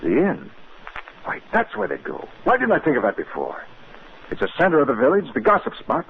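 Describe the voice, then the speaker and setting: Casting: level-headed American doctor, commanding and lively in inflection, vintage 1950s radio drama sound. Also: male voice, fairly high pitch, fairly quick. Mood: brisk, concerned. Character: resolute, rational male doctor leading a search. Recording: tinny, hissy 1950s radio recording.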